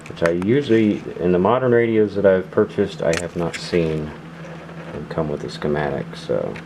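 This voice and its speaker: Very deep-voiced man, noisy recording. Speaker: host of a radio gear channel. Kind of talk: casual product demonstration. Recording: clearly noisy background.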